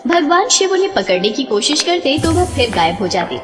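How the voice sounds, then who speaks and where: A woman narrates a devotional tale in a high, expressive voice. Her scripted storytelling sits in a long reverb with music underneath. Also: fairly quick.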